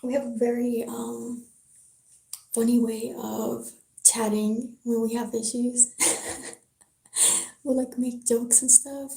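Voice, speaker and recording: A woman with a French accent, chatting easily on a live stream and reading a novel aloud in a soft-spoken way. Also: fairly high pitch, little pitch movement, slow, creaky, long pauses. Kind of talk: casual live-stream chat and book reading. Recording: roomy echo.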